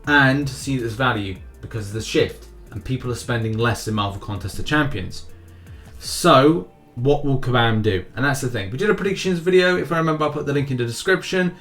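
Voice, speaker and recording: A man gives casual commentary as a gaming news host, with a mid-pitched voice and faint music underneath. He rambles somewhat, circling back to repeat phrases for emphasis. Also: fairly bright tone. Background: background music.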